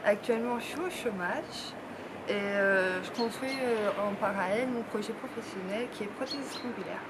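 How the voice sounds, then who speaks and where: A twenty-two-year-old woman introduces herself in an interview, pausing and hesitating. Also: mid-range voice. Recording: loud background noise.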